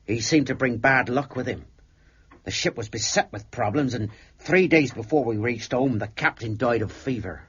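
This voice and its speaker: An actor playing a deferential, shaken sailor confessing to a horror in an earnest radio drama style. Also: male voice, mid-range voice, moderate pace, a bit bright, breathy edge, dry recording. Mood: earnest, uneasy. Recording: muffled, with music behind.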